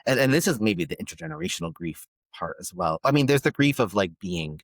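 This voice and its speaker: A man from Palestine, a guest on a podcast, speaking thoughtfully and pausing often to search for words. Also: fairly low pitch, sing-song, gravelly, no echo, studio quality. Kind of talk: reflective conversation.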